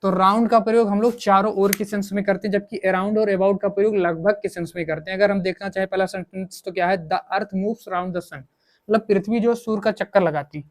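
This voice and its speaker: A man's voice: high voice, steady tone, brisk. Guy teaching grammar, asks stuff then answers it himself, repeats a lot. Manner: repetitive, asks and answers own questions. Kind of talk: coaching lesson, explaining in Hindi with English examples.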